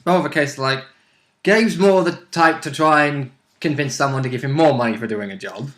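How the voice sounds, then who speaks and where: A man's voice: fairly high pitch, fairly quick, a bit bright. Man in echoey room chatting about game. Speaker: player in a tabletop role-playing game. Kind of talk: casual chat.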